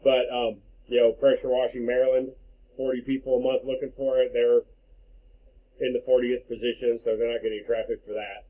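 A man's voice: medium pitch, flat delivery, brisk, narrow, tinny audio. A man giving an informal, plain-spoken screen-share walkthrough to a client as a marketing consultant, explaining things conversationally.

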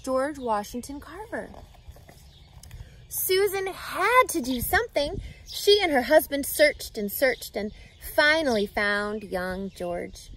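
A woman in her thirties reading a children's book aloud outdoors, with an expressive, teacherly delivery that emphasises key words. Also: a little high. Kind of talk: animated children's picture-book read-aloud. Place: outdoors, grassy lakeside yard. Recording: outdoor ambient background noise.

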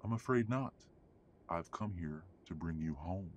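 A man acts out a domineering old-money werewolf father. His voice is extremely low and husky. He speaks formally and with measured condescension, as in an audio roleplay. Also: lively intonation. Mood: coolly disapproving. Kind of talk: audio roleplay drama acting.

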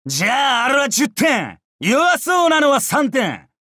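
An actor playing a bloodthirsty, boastful samurai berserker in an anime game, rough and brash, swinging from growls to shrieks. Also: male voice, very high-pitched, huge pitch swings, a little slow, pushed and bright, no echo, shouting, studio quality, heavily acted. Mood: gleeful bloodlust. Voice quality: rough, raspy. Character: bloodthirsty, boastful, battle-crazed male samurai berserker, fiercely loyal. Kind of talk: anime game battle voice lines.

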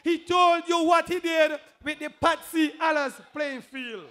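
A man with a Kittitian accent giving an emphatic, rousing political rally speech as a candidate, repeating phrases and raising them to shouts. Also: extremely high pitch, steady tone, a little slow.

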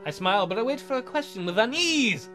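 A woman narrates a visual novel as a let's play host, with music playing behind her. Her delivery is lively and wry, slipping into irreverent asides. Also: on the high side, brisk.

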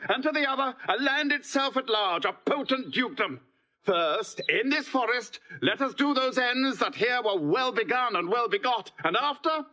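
Ringing, very high-pitched jovial duke. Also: male voice, sharp tone, full character voice. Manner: grand, declamatory. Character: commanding, jovial banished duke, generous ruler. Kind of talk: classical Shakespearean stage verse.

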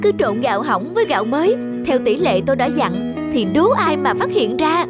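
Cartoon folk tale: brazen, nagging rice-seller wife, high voice, very animated delivery, music underneath. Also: female voice, moderate pace. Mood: scolding, smug. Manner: exaggerated, nagging, emphatic. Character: scheming, sharp-tongued village rice-seller wife, brazen and scolding.

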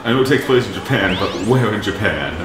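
A man's voice: lowish voice, animated, quick pace, background noise. A man chatting casually as co-host of a gaming let's play. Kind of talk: casual chat over gameplay. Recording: roomy echo, distant mic, music behind.

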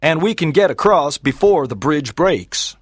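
A stubborn train driver in a dramatized audiobook. His voice is a little high and very animated, with emphatic, confident phrasing in a close, dry recording. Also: unhurried, slightly rough, dry recording. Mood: confident, insistent. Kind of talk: dramatized graded-reader audiobook.

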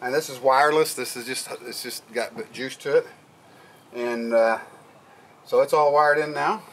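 A man's voice: mid-range voice, normal speed, a bit bright, slight background noise. Middle-aged man explaining boat work. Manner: plain, step-by-step explaining with fillers. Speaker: sailing vlogger. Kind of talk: casual how-to narration. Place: on a sailboat deck, outdoors and in the cabin.

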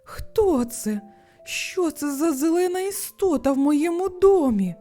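A woman's voice: extremely high pitch, animated, takes it slowly, mellow, soft background music, voice pushed up, full character voice. A woman voicing a vain, rude female frog in a children's storybook, speaking with scornful emphasis. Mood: shocked, indignant.

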